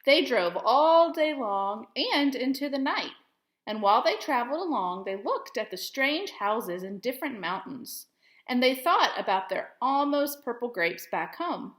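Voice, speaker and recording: A woman teacher reading a storybook aloud to children with expressive, deliberate storytelling phrasing. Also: sits high, reverberant.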